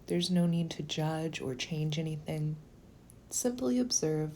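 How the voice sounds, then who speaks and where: A woman leading a guided meditation in a slow, gentle, soothing manner, with phrases sometimes softening toward a whisper. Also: sits low, lively intonation, a little hiss or hum.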